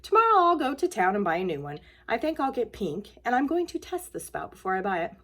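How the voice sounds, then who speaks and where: A woman voicing a kindly, upbeat older woman in a children's storybook, encouraging and emphatic, with gentle tact. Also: fairly high pitch, sing-song, fast. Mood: cheerfully reassuring. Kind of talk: children's storybook read-aloud.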